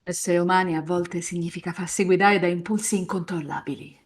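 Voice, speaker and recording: A woman's voice: fairly low pitch, steady tone, fairly quick. A woman hosting a live reading, moving between scripted narration and casual chat with co-readers. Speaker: host and narrator of a live visual novel reading.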